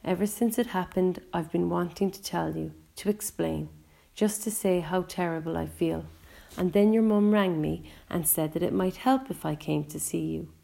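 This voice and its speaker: A woman's voice: fairly low pitch, moderate pace, vocal fry, mellow. A woman voicing a remorseful, kind Scottish father, earnest and apologetic, with a wobbly voice, in an audiobook story. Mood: remorseful, upset. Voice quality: wobbly, emotional voice. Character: remorseful Scottish father who caused an accident, upset and kind.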